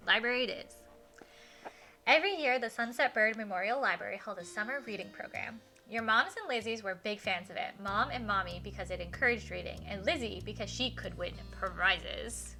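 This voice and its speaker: Bright-voiced, enthusiastic woman streaming a story game. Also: mid-range voice, brisk, soft background music. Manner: enthusiastic, gushing reactions to the story. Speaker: live streamer reading a visual novel aloud. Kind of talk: expressive story reading mixed with casual stream chat.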